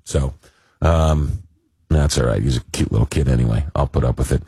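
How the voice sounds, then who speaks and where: A man's voice: very deep voice, normal speed, vocal fry, mellow, slightly rough, no echo. A man hosting a late-night call-in radio show while fighting a cold. He talks in a rambling, casual way with many fillers and dry jokes. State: fighting a cold, losing his voice.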